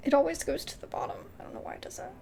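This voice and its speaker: A woman's voice: very fast, darkish tone, slight background noise. A woman doing casual video game commentary and reading dialogue aloud, with informal, exclamatory asides.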